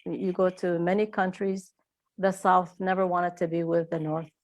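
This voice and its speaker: A woman's voice: on the low side, flat delivery, normal speed, darkish tone. A middle-aged woman from Iraq, an author answering interview questions in a reflective way with frequent hesitations.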